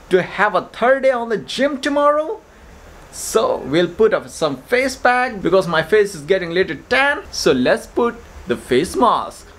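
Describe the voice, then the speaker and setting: A man's voice: high-pitched, sharp tone. A man in his thirties from Nepal, chatting upbeat and directly to viewers in a casual vlog filmed on a phone held at arm's length. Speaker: vlogger. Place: streets, stairwell and gym at dawn, then a bedroom. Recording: phone audio with clear background noise.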